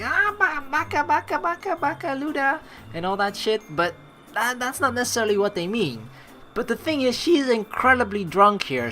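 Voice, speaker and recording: A man giving casual, rambling gameplay commentary and reading dialogue aloud, excitable, informal and sweary. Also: a little high. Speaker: let's player. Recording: game music behind the voice. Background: game music.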